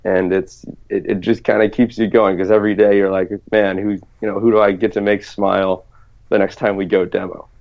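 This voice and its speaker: A man with a lowish voice talks through his answers in a relaxed, rambling way, full of fillers. He is a startup co-founder guest. The sound is dull and lo-fi. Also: brisk, creaky. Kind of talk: casual interview answers.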